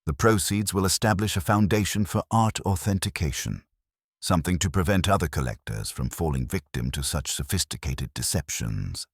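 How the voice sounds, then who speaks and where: A man's voice: low-pitched, lively intonation, normal speed, slightly rough, no room sound, clean studio sound, full character voice. A man voicing a newly resolute young heir who talks in an earnest, formal way.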